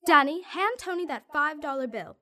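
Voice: high-pitched, lively intonation, moderate pace, pushed and bright, voice pushed up, heavily acted. A narrator voicing a stern female elementary school teacher in a commanding manner for a storybook.

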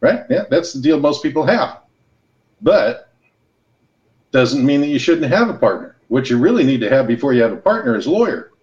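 A man's voice: mid-range voice, very animated, moderate pace, a little mellow, lo-fi sound. A middle-aged American man talking as a podcast guest, explaining business casually in plain, colloquial language. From Los Angeles and Hawaii, United States. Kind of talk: casual conversational interview, explaining with anecdotes.

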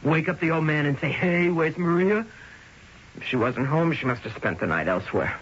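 A man's voice: fairly high pitch, animated, moderate pace, fairly bright tone, husky. An actor playing a blunt, sardonic young man with an offhand manner in a radio drama. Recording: muffled, hissy old radio broadcast.

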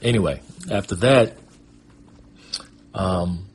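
A man's voice: low-pitched, takes it slowly, breathy edge. A middle-aged American man telling his own life story in a reflective, conversational, casual way. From Michigan, USA. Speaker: guest storyteller and performing artist. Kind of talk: personal storytelling, casual reminiscing.

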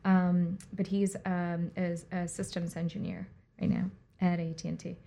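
A woman's voice: fairly low pitch, little pitch movement, unhurried, vocal fry, dark tone. A woman who grew up in India and Puerto Rico, with Hindi as her first language, speaking as a guest in an interview in a reflective, rambling way with many fillers. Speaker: guest, artist and director. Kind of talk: conversational interview.

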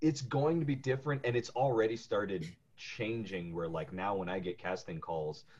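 A man's voice: lowish voice, moderate pace, darkish tone, lo-fi sound. A male voice actor co-hosting a podcast, chatting casually and often repeating words as he starts a thought.

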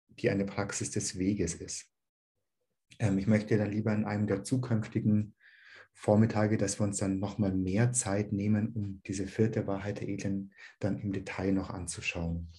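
Calm low-voiced man teaching. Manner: calm, searching, with many "ähm" restarts. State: has a slight cold. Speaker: dharma teacher. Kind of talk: online dharma teaching. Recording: online group session.

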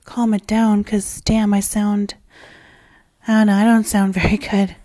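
Hoarse, raspy woman podcast host. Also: mid-range voice, level tone, unhurried, warm tone, no echo, studio quality. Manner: rambling and candid, with frequent fillers and restarts; swears casually. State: hoarse, losing her voice. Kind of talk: casual solo podcast chat.

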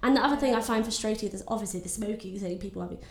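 A woman's voice: medium pitch, racing, creaky. A young woman with a nasal voice chatting casually as a guest, talking openly and filling her speech with "like". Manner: chatty, full of fillers. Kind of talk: casual chat. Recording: echoey room.

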